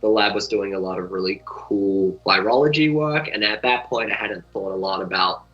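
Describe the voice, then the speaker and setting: A young man, a medical student answering interview questions in a relaxed, rambling way full of fillers. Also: on the low side, moderate pace. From New Zealand. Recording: dull lo-fi audio.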